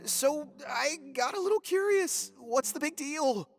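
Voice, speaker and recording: High-voiced, defensive young suspect, reverberant. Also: female voice, animated, a little slow. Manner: defensive, stammering. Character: young female culprit, evasive, nervy and defiant. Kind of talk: video game voice acting.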